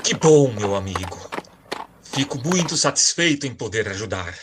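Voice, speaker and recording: A man playing a proud, worried old warrior king in an audio drama, solemn and dramatic with a husky voice. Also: medium pitch, big pitch swings, moderate pace, noisy background, natural voice. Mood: grateful.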